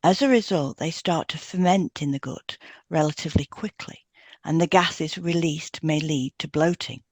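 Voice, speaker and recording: A woman's voice: low voice, moderate pace, a little mellow, dry recording. Woman reading an educational podcast, dry sound. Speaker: podcast co-host and hypnotherapist. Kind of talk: scripted educational narration.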